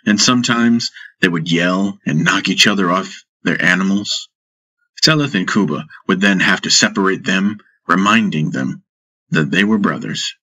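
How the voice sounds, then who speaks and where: A man narrating a fantasy audiobook in a measured, dramatic storytelling style. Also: low-pitched, bright, forceful tone, low-quality recording.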